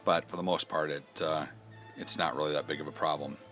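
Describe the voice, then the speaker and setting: A man's voice: rumbling low, little pitch movement, brisk, a bit bright, faint music behind. A man presenting a casual step-by-step instructional video, explaining things in a conversational, hands-on way. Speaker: host of an instructional product video.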